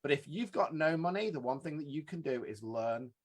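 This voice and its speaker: A man hosting a podcast, talking in an earnest, quick way and cutting in with his own thoughts. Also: fairly high pitch, expressive. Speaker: podcast host. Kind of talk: podcast interview.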